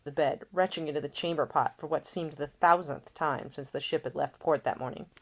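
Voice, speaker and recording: A woman's voice: low voice, fairly even intonation, brisk. A woman reading scripted audiobook narration, an author telling their own story.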